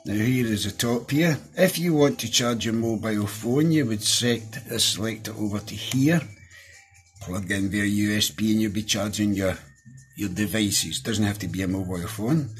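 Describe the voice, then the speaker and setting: Low-voiced Scottish man reviewing a gadget. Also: normal speed, soft background music. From Scotland. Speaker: product reviewer. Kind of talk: casual hands-on product review. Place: small indoor room at a desk.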